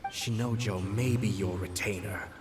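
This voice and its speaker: A man playing a shrewd, composed aristocratic government commissioner in an anime game, speaking with measured, courtly diction. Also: fairly low pitch, steady tone, a little mellow, recorded from a distance, slight background noise, lightly acted. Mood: cool, probing.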